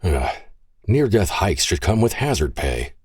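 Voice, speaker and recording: A man's voice: low voice, very animated, unhurried, darkish tone, breathy edge, no room sound, heavily acted. A man voicing a joking, wisecracking man who plays the fun uncle of a group. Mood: wry. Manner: wisecracking, dry humor.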